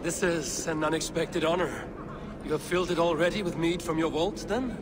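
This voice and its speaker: A male actor's quick-witted Norse lord from a video game. He is courteous and formal, with a measured, slightly high voice. Loud outdoor ambience surrounds him. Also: background noise, lightly acted. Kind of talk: video game dramatic acting.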